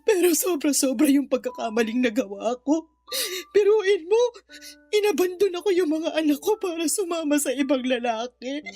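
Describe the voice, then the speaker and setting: A woman's voice: high-pitched, animated, moderate pace, warm tone. An actress playing an ashamed, grieving mother in a radio drama, tearfully confessing and blaming herself as she cries. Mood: grieving, guilty. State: crying. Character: remorseful impoverished mother, a runaway aunt, ashamed and grieving. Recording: music bed under the voice.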